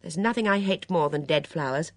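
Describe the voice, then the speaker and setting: A woman voicing a composed, brisk older lady of the house in an audiobook, firm and matter-of-fact. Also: sits low, no room sound, lightly acted. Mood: soothing, firm. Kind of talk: audiobook character dialogue.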